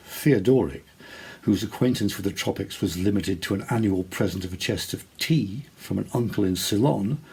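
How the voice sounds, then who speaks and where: A man reading a literary short story as an actor would, measured, expressive and deliberate in his dramatic narration. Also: lowish voice, vocal fry, husky.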